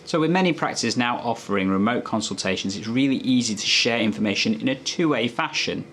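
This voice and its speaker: A man's voice: mid-range voice, lively intonation, fairly quick, fairly bright tone, a little hiss or hum. A man presenting health tips as a doctor, explaining in a conversational, instructive way to viewers.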